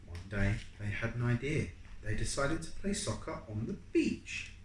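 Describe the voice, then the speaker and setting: A parent reading a picture book aloud to a young child in an expressive, dramatic, coaxing way, often pausing to ask questions. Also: medium pitch. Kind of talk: animated read-aloud storytime with questions to a child. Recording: echoey room with background noise.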